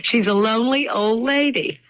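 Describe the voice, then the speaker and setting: A woman's voice: a little high, moderate pace, lightly acted. A woman playing a cheerful, teasing young newlywed wife in a radio drama. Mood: teasing, amused. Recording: dull lo-fi radio, faint noise.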